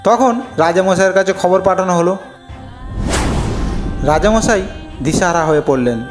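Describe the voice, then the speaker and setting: A man's voice: high-pitched, animated, moderate pace. Male storyteller over prominent music. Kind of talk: scripted fairy-tale narration.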